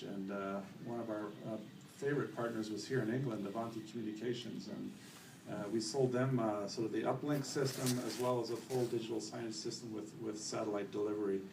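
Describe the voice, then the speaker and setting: A middle-aged Canadian man giving a company presentation at a conference, relaxed and full of fillers. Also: medium pitch, vocal fry. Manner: relaxed, many fillers, self-corrects. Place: conference hall. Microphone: room mic, later handheld. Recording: echoey hall from far off, faint noise.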